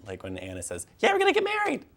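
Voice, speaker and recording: A man's voice: very high voice, wildly swooping pitch, racing, creaky, fairly bright tone. A young man co-hosting a casual film and TV chat, stammering and repeating words as he feels his way through sentences. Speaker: co-host of a film and TV talk show.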